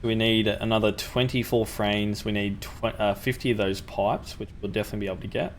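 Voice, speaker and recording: Young guy with a sore throat, lowish voice, chill game commentary, faint hum behind. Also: fairly quick. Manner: relaxed, thinking aloud with ums. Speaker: gaming channel host. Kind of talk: gameplay commentary.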